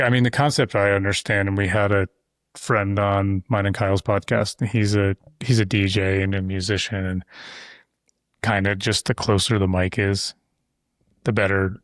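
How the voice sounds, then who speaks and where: A man with a lowish voice hosts a podcast and talks easily, wandering into asides as he explains things. He sits close to the mic, and the sound is dry and close, with no room echo. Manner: talkative, explains at length with loose asides. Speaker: podcast host. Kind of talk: casual conversation. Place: insulated shop-building studio. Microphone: close studio mic.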